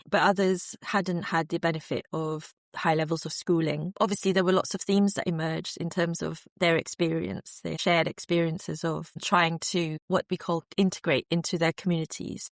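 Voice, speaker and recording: A woman answering interview questions as a researcher, thoughtful and measured with frequent fillers. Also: lowish voice, no echo, studio quality. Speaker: guest researcher.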